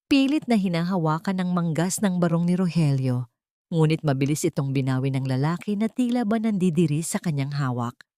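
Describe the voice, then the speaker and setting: A woman with a fairly low voice narrates a scripted story in a dramatic storyteller's style. The recording is dry, with hardly any room sound. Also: expressive, fairly quick, mellow, no room sound.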